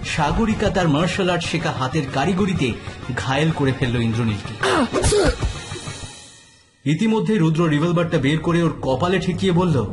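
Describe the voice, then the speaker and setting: A man's voice: on the high side, animated, normal speed, long pauses, over music. A man narrating a scripted detective story in a dramatic style.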